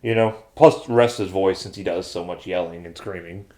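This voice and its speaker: A man's voice: deep voice, brisk, mellow. A man hosting a podcast in a casual, chatty style. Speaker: podcast host. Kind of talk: casual chat.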